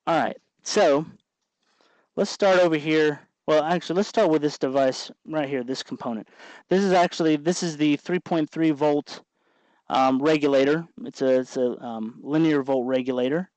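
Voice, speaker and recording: A man hosting an informal electronics tutorial, explaining things off the cuff in a halting way as he thinks aloud. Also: a little high, darkish tone, no echo, rough recording. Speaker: host of an electronics tutorial show. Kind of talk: unscripted hands-on tutorial narration.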